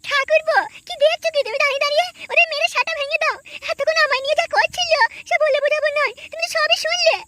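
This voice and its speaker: A woman's voice: very high-pitched, fairly even intonation, very fast, few pauses, noisy background. A woman voicing an aggrieved young village woman in a comic cartoon, plaintive, accusing and insistent. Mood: indignant.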